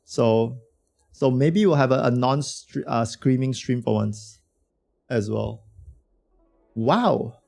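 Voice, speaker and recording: Dude streamer losing his voice, raspy n croaky, yapping slangy to chat, mid pitch. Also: takes it slowly, long pauses, darkish tone, soft background music. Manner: slangy, rambling, talks to chat. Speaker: game streamer. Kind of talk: casual livestream chat reacting to a game.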